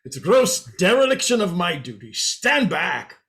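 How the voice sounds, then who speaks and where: A man plays an indignant period gentleman in a radio drama. His voice is high and forceful, measured in pace and sweeping in pitch as he scolds formally. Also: very animated. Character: stern, indignant gentleman squire. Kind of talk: radio play reading, formal period speech.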